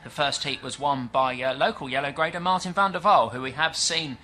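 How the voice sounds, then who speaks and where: Bright-voiced British race commentator. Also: male voice, on the high side, a little fast, soft background music. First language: English. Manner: chatty and explanatory, with frequent fillers. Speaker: stock car race commentator. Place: at a dirt track event.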